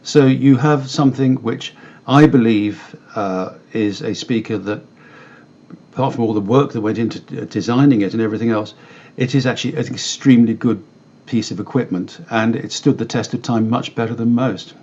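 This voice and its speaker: A British man giving measured, articulate explanations as an expert guest in a conversational interview. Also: medium pitch, darkish tone, lo-fi sound. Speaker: company director interviewed as guest.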